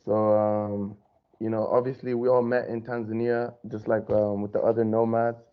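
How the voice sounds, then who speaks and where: A man's voice: on the low side, little pitch movement, moderate pace, clean tone. A man hosting a casual podcast, asking questions in a laid-back way full of fillers. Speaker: podcast host. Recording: dull lo-fi sound.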